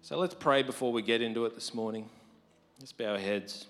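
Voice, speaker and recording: A married man preaching a conversational sermon, his voice deep from lack of sleep, rising to emphatic quotes. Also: fairly quick, fairly bright tone. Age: adult, married. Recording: long hall reverb.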